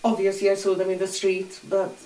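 Older woman telling her life story, calm and matter-of-fact, echoey room, mic kinda far off. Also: mid-range voice, a little fast. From Hungary. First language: Hungarian. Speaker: interviewee. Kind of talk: oral history recollection. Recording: roomy echo, distant mic.

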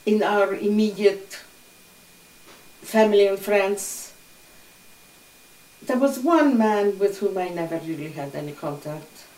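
Older woman telling her life story, calm and matter-of-fact, echoey room, mic kinda far off. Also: mid-range voice, slow, long pauses. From Hungary. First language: Hungarian. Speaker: interviewee. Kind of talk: oral history recollection. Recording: roomy echo, distant mic.

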